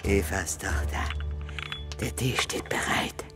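An actor playing a timid, courteous, excitable male faun in a storybook audio drama, stammering and politely flustered. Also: on the low side, slow pace, over loud music. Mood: eager, nervous.

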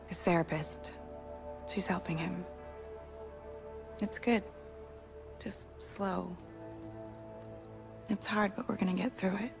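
A woman's voice: medium pitch, steady tone, slow pace, long pauses. A woman playing a worried, stubborn younger sister and young mother in a naturalistic video game scene, plain-spoken and conversational. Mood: subdued, earnest. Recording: music under the voice.

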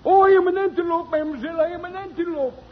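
A frantic, apologetic cab driver in vintage radio drama. He pleads rapidly in a very high voice. The recording is old and dull. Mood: flustered, pleading. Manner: flustered, pleading. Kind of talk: 1940s radio drama acting.

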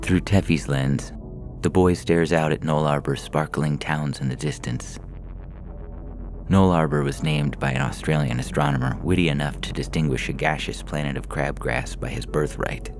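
A man reading a scripted story as a podcast narrator, in a measured, deliberate literary manner. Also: rumbling low, creaky. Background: music.

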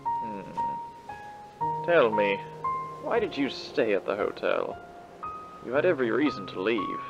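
A man voicing a pompous, prickly, old-fashioned demon gentleman with formal, theatrical delivery in a cartoon comic dub. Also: fairly low pitch, very animated, unhurried, highs cut off, music in the background. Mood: guarded, polite. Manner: pompous, formal, clipped indignation.

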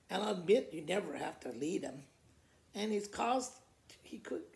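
A man in his sixties with a gravelly voice, reading children's poems aloud like a school principal to students, in a living room with the camera a little way off. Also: sits high. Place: living room by a fireplace, indoors. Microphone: camera a short distance away. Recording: roomy indoor echo.

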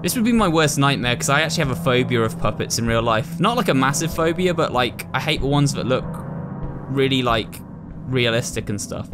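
A man's voice: medium pitch, lively intonation, fairly quick. A British man giving chatty, casual commentary while playing a horror game, addressing his viewers as host. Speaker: gaming video host. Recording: voice over game music. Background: ominous game music.

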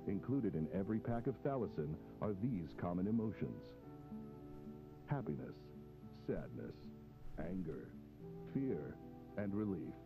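A man's voice: low-pitched, very animated, unhurried, vocal fry, pauses often, full character voice. A man playing a formal, clinical announcer narrating an eerie drug infomercial. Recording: dull lo-fi tape with music behind.

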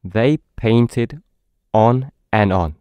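A man's voice: fairly low pitch, drawn-out words, warm tone, clear, resonant tone, no room sound. A man with a British accent reading a children's story aloud, expressively, as if to a young child. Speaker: storybook narrator.

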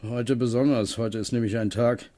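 A man's voice: mid-range voice, steady tone, moderate pace, mellow, lightly acted. An actor in a radio play playing a kindly, grumbling elderly man who talks matter-of-factly.

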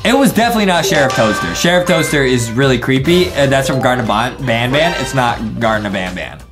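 Animated young man over music. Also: medium pitch, quick pace. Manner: animated, slangy, jokey, repeats phrases. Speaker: host of a reaction and guessing game video. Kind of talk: excitable casual commentary for kids. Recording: music behind the voice.

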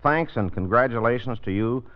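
A man's voice: mid-range voice, a little fast. A man giving a formal, scripted award presentation on 1950s radio. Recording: phone-like 1950s radio, long hall reverb.

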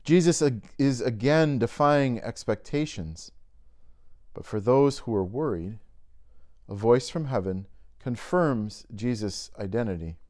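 A middle-aged man reads scripture and a devotional in a deliberate, unhurried voice of middle pitch. He is a pastor, seated in a cozy room indoors and recorded by a camera a short way off. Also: expressive, darkish tone. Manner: slow, measured reading aloud from a binder. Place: indoors in a home-style room by a fireplace.

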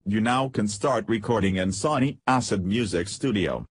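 A man with a fairly low, husky voice narrates a software tutorial. He reads scripted steps in a measured, instructional way. The sound is close and dry, with very little room to it. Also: no room sound.

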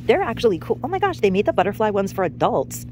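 A woman's voice: mid-range voice, big pitch swings, quick pace, darkish tone, slight background noise. A woman hosting a shopping vlog, chatting enthusiastically about products as she walks store aisles with a handheld phone. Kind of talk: casual shop-with-me chat to camera. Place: discount store aisles, indoors.

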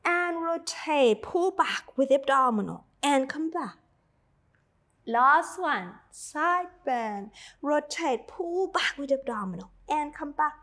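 A woman in her thirties with an Australian-tinged accent, guiding a Pilates class with slow, soothing cues and chatty asides. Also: sits high, expressive, fairly bright tone. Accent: Australian-tinged English. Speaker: Pilates instructor. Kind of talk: guided exercise instruction.

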